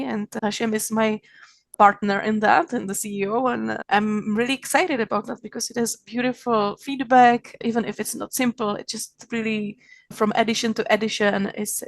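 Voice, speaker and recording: A woman from the Czech Republic with a Czech accent, a magazine founder speaking friendly, slightly halting English as a guest over a video call. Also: fairly high pitch, no room sound. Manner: warm, slightly halting non-native English with fillers. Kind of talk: casual interview chat.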